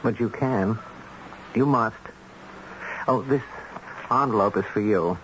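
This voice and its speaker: An actor playing a polite, coldly businesslike man who arranges killings, in a radio drama, speaking in a measured, commanding way. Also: lowish voice. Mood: smooth, businesslike. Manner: courteous, measured, calmly commanding. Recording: dull, noisy old radio recording.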